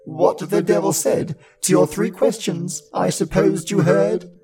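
A man voicing a wily old grandmother in a storybook tale, creaky, coaxing and drawing out the words. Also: high voice, measured pace, over music, pitched above the performer's own voice. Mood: kindly. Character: devil's old grandmother, wily, soothing, scolding old woman.

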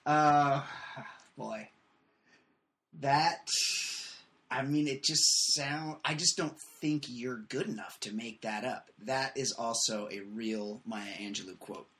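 A man hosting a casual comedy podcast, riffing with opinionated, quick takes. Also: medium pitch, a bit bright. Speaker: podcast host.

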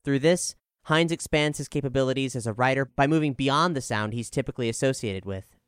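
Clear-voiced man reading a scripted review. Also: mid-range voice, a bit bright, dry recording, clean studio sound. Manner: measured, articulate, formal delivery. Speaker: narrator reading an album review.

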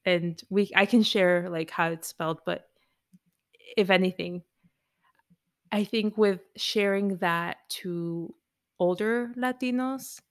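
A woman's voice: mid-range voice, slow pace, pauses often. A woman whose first language was Spanish, talking casually and rambling with lots of "like"s. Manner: rambling, many "like"s. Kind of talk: casual group chat.

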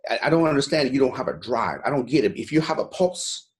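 A fired-up man in his forties, originally from Iran, talking blunt and profane as an interview guest. His voice sits at an ordinary middle pitch, and he keeps circling back with "you know" as he pushes his point hard. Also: animated, fast talker. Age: 40s. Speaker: guest, gym owner. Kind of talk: motivational interview talk.

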